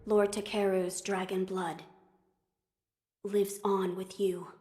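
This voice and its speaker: A woman voicing a grave, bookish young noble child lord in a video game cutscene, speaking in formal, measured, earnest phrases. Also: medium pitch, flat delivery, darkish tone, natural voice. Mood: solemn, gentle.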